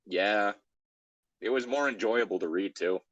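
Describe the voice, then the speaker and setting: Male co-host, low-pitched and dryly recorded, wry and exasperated, casual read-and-react delivery. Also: unhurried, fairly bright tone, dry recording, lo-fi sound. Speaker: co-host reading fan fiction aloud.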